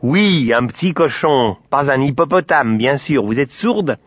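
A man voicing a gruff, impatient father who runs a café in a storybook tale, brusque and irritable. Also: medium pitch, big pitch swings, moderate pace, no room sound, highs cut off, voice pushed up. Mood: angry.

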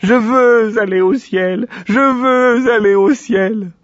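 A man's voice: a little high, big pitch swings, moderate pace, slightly rough, no echo, dull audio, pitched above the performer's own voice. A man voicing a whiny, greedy little male piglet who pleads and sulks. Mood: pleading. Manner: whining, pleading, sulky.

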